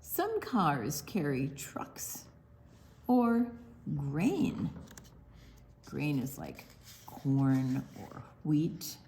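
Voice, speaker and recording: An older woman with a slightly low voice reads a picture book to small children. Her intonation swings widely and she takes her time, stretching words and pausing for little explanations. There is faint noise behind her. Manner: expressive, drawn-out reading with explanatory asides. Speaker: storytime reader. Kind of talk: read-aloud picture book storytime for young children with asides.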